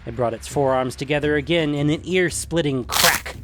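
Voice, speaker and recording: A man's voice: fairly high pitch, normal speed, over loud music. A man narrating a scripted fantasy adventure story.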